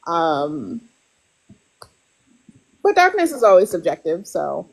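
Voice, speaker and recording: A woman's voice: on the low side, wildly swooping pitch, slow, creaky, low-quality recording. A woman guest author in casual podcast chat, talking loosely with lots of fillers and frequent laughs. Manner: chatty, laughs often, lots of "like" and "you know".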